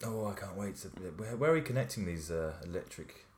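A hungover man co-hosting a film podcast with dry, deadpan jokes. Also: on the low side, brisk, crowd noise. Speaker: podcast co-host. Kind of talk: casual chat. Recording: echoey room with music underneath.

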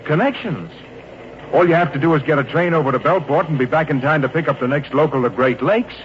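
An actor playing a kindly, chatty middle-aged traveling salesman with a folksy, avuncular, unhurried manner in 1940s radio drama. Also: a little high, lightly acted. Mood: helpful, persuasive. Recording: noisy muffled old radio recording.

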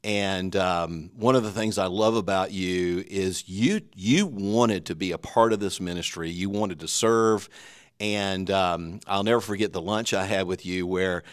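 Low-voiced Southern American man, dry. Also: measured pace, no echo. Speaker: podcast host. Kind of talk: church podcast conversation.

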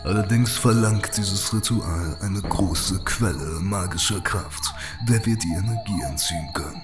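A male audiobook reader performs an aged, brooding sorcerer of dark arts. His voice sits deep and moves with slow, calculating gravity. Music plays clearly underneath. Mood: grave, measured. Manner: slow, deliberate, grave. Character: old, brooding, calculating male necromancer mage. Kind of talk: audiobook character voice.

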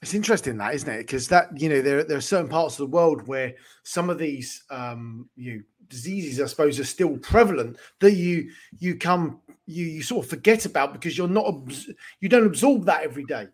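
Man, podcast host, high-pitched, enthusiastic, rambling, laughs often. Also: expressive, brisk, breathy edge. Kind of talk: conversational interviewing.

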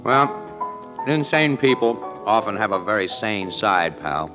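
An actor playing a wry, streetwise crime photographer in a 1940s radio drama, casual and slangy with an American accent. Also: male voice, on the low side, lively intonation, unhurried, dull audio. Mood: dry, bantering. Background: music.

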